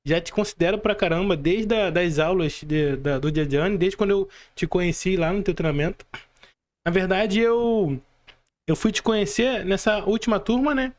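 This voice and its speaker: A man's voice: sits high, moderate pace. A Brazilian man chatting casually as a podcast co-host, repeating words for emphasis. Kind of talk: casual chat.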